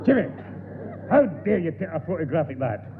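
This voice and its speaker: A man's voice: on the high side, very animated, brisk, crowd noise. A man playing an indignant, blustering old grandfather in a 1960s radio sitcom. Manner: indignant, threatening. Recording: tinny, noisy radio broadcast before an audience.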